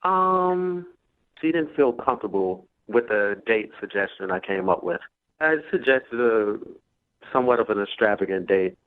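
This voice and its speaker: A man calls in to a radio show over a narrow phone line. He chats casually and boastfully, with animated pitch and plenty of self-assurance. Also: normal speed, clear, resonant tone. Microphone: telephone.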